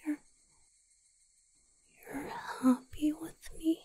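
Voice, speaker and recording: A woman voicing a gentle, insecure young male vampire boyfriend in a whispered, hesitant romantic ASMR roleplay. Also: high voice, steady tone. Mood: tender, worried. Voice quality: breathy whisper with some creak. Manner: hesitant, tender, halting.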